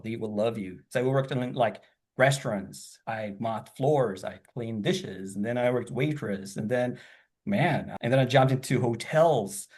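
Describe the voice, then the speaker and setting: A middle-aged man speaks in a casual, enthusiastic way on a video call. His pitch is ordinary, and he tends to ramble, circling back and repeating words as he talks about himself. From born in Japan, grew up in several countries across Asia and the Middle East. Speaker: student and hospitality professional. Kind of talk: casual video-call interview, telling life story.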